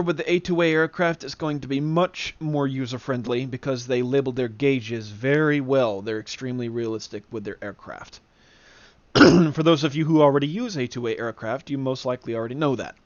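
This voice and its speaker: A man giving a casual spoken tutorial as an enthusiast, in a halting way with frequent throat clearing. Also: mid-range voice, dry recording. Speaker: demonstrator, flight simulator enthusiast. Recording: dull lo-fi close dry recording.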